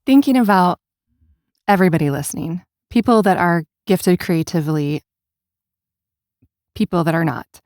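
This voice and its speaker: A woman's voice: on the low side, moderate pace, long pauses, darkish tone, no room sound, clean studio sound. A woman hosting a casual podcast interview, speaking thoughtfully and affirmingly as she searches for her words.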